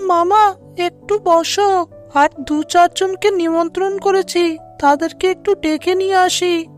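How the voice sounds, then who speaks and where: A woman's voice: very high voice, steady tone, slow pace, faint music behind. A woman voicing a sly trickster fox in an animal fable cartoon, with a sweet-talking, drawling delivery. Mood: feigned politeness.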